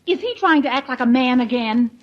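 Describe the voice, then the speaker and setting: A woman's voice: high voice, animated, fairly quick. A woman playing a gushing, giggly, man-hungry single friend in a 1940s radio comedy. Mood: gossipy. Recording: muffled, crackly vintage radio recording.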